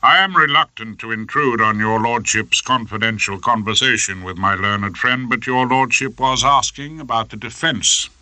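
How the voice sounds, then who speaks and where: Guy as an old british barrister, cynical, overly polite on purpose, very forceful and bright, audiobook. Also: mid-range voice, moderate pace, hardly any pauses, no room sound. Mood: mock courtesy. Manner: dry, mock-courteous, declamatory. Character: cynical, dogged old male defence barrister.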